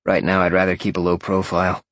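Man playing a casual young guy, low-voiced. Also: completely flat delivery, quick pace, a bit bright, dry recording. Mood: candid, wary.

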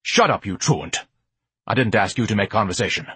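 A man's voice: fairly low pitch, expressive, brisk, sharp tone, breathy edge, dry recording, exaggerated voice. A man voicing a pompous, indignant, gluttonous educated man with grandiose, haughty diction in an audiobook. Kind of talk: audiobook character acting.

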